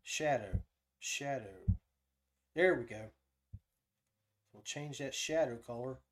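A man's voice: mid-range voice, animated, takes it slowly. A man hosting a casual live tutorial, thinking aloud as he demonstrates each step. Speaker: podcast host giving a software tutorial.

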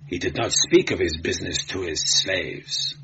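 A man reads as a bitter slave charioteer in an adventure audiobook. He answers sullenly and plainly in a lowish voice, over some background noise. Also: moderate pace, a bit bright, lo-fi sound. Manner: sullen, matter-of-fact.

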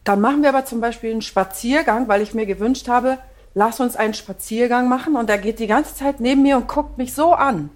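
An actress playing a wistful but stubborn wife in couples therapy, in a naturalistic German audio drama. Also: female voice, a little high, a little fast, natural voice. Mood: insistent.

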